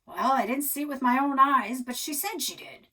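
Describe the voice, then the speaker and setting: A woman voicing a stubborn, folksy old grandfather in a storybook, gruff and resigned. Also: high-pitched, animated, normal speed, pushed and bright, pitched above the performer's own voice, heavily acted. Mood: exasperated.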